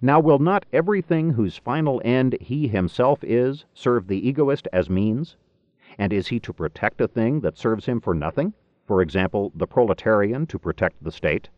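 A man narrating a philosophy book in a formal, deliberate, rhetorical manner, with emphatic phrasing, on a cassette recording. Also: medium pitch, lively intonation, no room sound. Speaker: audiobook narrator. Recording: dull lo-fi cassette tape recording.

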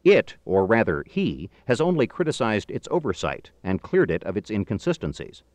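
A middle-aged man reading a philosophical book aloud in a formal, measured, emphatic way, with deliberate phrasing. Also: fairly low pitch, wildly swooping pitch, no echo. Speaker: audiobook narrator.

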